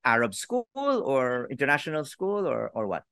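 A middle-aged man interviewing a guest, halting and restarting his phrases and mixing languages as he talks. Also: mid-range voice, sing-song, vocal fry, fairly bright tone, dry recording, clean studio sound. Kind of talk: casual conversational interview.

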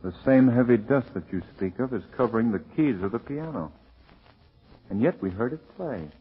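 An actor playing a composed, inquisitive gentleman investigator in a 1940s radio drama, speaking politely and with authority. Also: mid-range voice, lively intonation, normal speed, breathy edge. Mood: urgent, decisive. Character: composed, inquisitive gentleman investigator, middle-aged, courteous and decisive. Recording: muffled 1940s radio recording.

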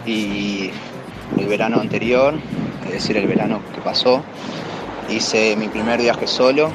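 A young man from Argentina with an Argentine accent, talking about his trip in a relaxed, casual way. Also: on the low side, a little slow, very noisy.

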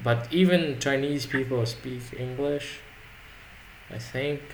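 A man's voice: medium pitch, takes it slowly, slight background noise, rough recording. A man whose first language is Arabic, talking in a rambling, reflective way with many restarts in a casual conversation.

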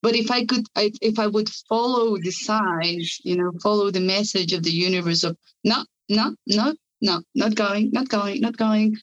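A woman's voice: mid-range voice, fairly even intonation, moderate pace, few pauses. Spanish-accented woman chatting, eager, lots of likes and restarts, kinda dull audio. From Spanish-speaking country. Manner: enthusiastic, many fillers and restarts. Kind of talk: casual podcast conversation.

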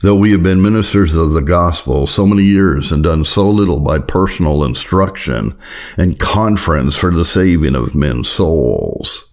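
A man reading an old sermon aloud as an audiobook narrator, in a solemn, earnest, measured and formal way. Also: deep voice, a little mellow. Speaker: audiobook narrator of Puritan writings.

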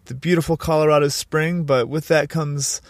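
A man hosting a podcast, upbeat and energetic, with a stuffy nasal voice from a sinus infection. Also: on the high side, moderate pace, no room sound, clean studio sound. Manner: upbeat, energetic, frequent restarts. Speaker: podcast host. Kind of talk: podcast intro and interview. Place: Colorado.

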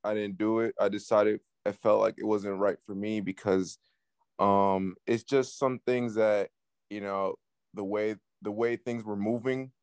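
A man from Peru who grew up partly in New York, giving casual interview answers as a music artist, haltingly and with repeated words. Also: lowish voice, steady tone, darkish tone, clean tone, no room sound, clean studio sound. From Peru, moved to the US at six, based in New York City. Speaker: guest, up-and-coming Latin music artist.

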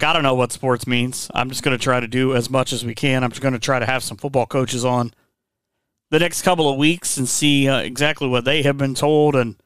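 Man, sports podcast host, smooth resonant voice, dry close sound, easygoing chat. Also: medium pitch, fairly bright tone, no room sound. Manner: easygoing, conversational, with fillers.

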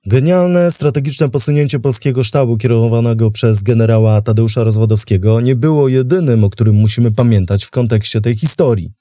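A man's voice: mid-range voice, warm tone, no room sound, studio quality. A man reading scripted documentary narration as the host of a history programme.

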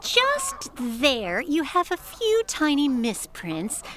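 A woman's voice: high voice, wildly swooping pitch, takes it slowly, slight background noise, full character voice. A woman playing a nervous, polite, nitpicking Puritan goodwife in a comic audio drama. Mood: chirpy, nervous. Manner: bright, giggly, polite.

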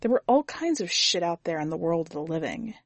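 A woman reading scripted first-person fiction as a narrator, with measured, grave, deliberate phrasing. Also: lowish voice, sing-song, no echo. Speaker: podcast editor and story narrator.

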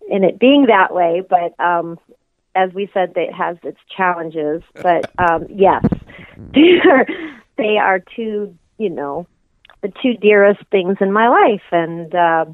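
A woman's voice: on the low side, animated, slow pace. A woman musician being interviewed, speaking reflectively and hesitantly with many restarts. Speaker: musician guest. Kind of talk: casual interview chat. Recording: tinny phone line.

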